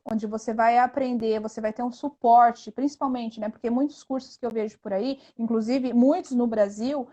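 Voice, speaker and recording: A woman's voice: fairly high pitch, dark tone. A Brazilian woman speaking as an interview guest, explaining things earnestly and conversationally, often ending phrases with a tag question. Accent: Brazilian Portuguese. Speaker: interview guest, investment instructor.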